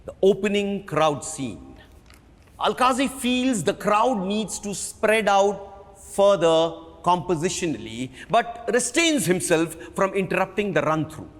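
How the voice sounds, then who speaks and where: A man with an Indian accent gives a dramatic literary reading, theatrical and emphatic, with dramatic pauses. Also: high-pitched, a bit bright. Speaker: stage actor giving a dramatic book reading. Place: large auditorium at a live reading. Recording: echoey hall with long reverb.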